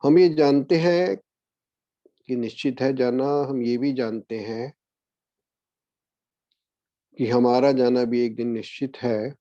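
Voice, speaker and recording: A man guiding a meditation as a spiritual discourse, speaking in a measured way with long pauses. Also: medium pitch, fairly even intonation, mellow, dry recording. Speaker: meditation guide. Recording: dull, lo-fi, dry audio.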